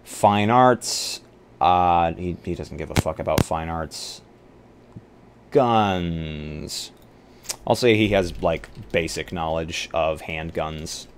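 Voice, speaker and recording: A man with a very low voice and plenty of fry chats casually as he streams a tabletop roleplaying game. He mulls things over out loud and banters freely, with noticeable noise behind him. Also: slow. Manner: thinks aloud, banters with profanity. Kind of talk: casual livestream chat while building a game character. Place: live online game stream. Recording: clear background noise.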